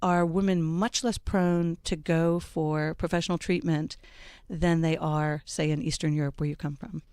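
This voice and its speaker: Low-voiced woman hosting a radio panel. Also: level tone, mellow, clear, resonant tone, dry recording, clean studio sound. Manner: measured, many ums and uhs, thoughtful introductions. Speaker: radio talk show host. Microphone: studio microphone.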